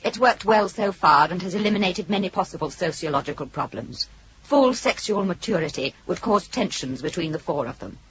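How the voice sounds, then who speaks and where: An actress playing a calm, calculating female ship computer in a radio drama, speaking in a formal, coldly logical way. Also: fairly low pitch, brisk. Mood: cool, calculating. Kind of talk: 1980s radio drama acting. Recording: dull old radio recording, light noise.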